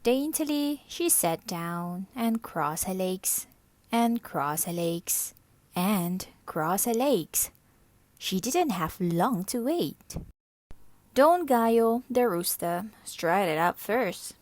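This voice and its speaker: Unhurried woman reading a children's story. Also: medium pitch, animated, no room sound. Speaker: storybook reader. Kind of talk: scripted children's story narration.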